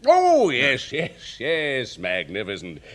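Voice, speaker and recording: Pompous, swooping upper-class English boss. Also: male voice, medium pitch, huge pitch swings, slow pace. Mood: self-satisfied. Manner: pompous, repeats himself. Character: pompous, blustering upper-class knighted boss, older man. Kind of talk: British radio sitcom acting.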